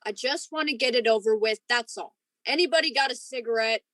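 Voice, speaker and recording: A woman's voice: on the high side, brisk, fairly bright tone, no room sound, lightly acted. A woman playing a dutiful but edgy ship's lieutenant in a radio drama, questioning and uneasy. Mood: edgy, impatient.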